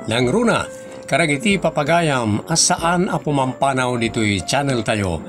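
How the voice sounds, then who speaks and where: Man, northern Philippine accent, drama program announcer, scripted introduction, animated intonation, background music. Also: mid-range voice, measured pace.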